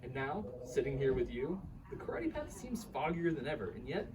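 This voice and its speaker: A man's voice: medium pitch, normal speed, lightly acted. A man playing an earnest, bemused karate student telling his partner a story in a live radio play reading. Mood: reflective, earnest. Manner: animated, chuckling, quotes his teacher's dialogue. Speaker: reader in a staged radio play. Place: live reading at a bookshop event. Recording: distant microphone with faint room noise.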